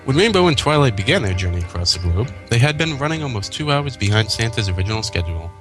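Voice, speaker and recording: A man's voice: mid-range voice, big pitch swings, fast talker. A man co-hosting and narrating a fan fiction story in a read-aloud style. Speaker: co-host, narrator. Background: background music.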